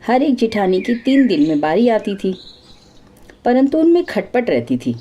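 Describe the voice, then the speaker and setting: A woman's voice: a little high, sing-song, normal speed, mellow. A woman storyteller narrating a scripted folk tale.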